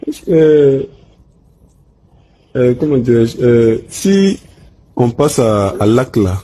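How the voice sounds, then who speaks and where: A man's voice: mid-range voice, very animated, slow pace, mellow. A man with a West African French accent asking questions hesitantly in an informal phone conversation. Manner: hesitant, murmuring acknowledgements. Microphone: telephone.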